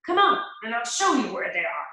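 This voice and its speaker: Woman reading as the big bad wolf: sly, menacing, loud slow calls; a lively voice in an echoey room. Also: a little high, exaggerated voice. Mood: coaxing. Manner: loud drawn-out calling, growling threats.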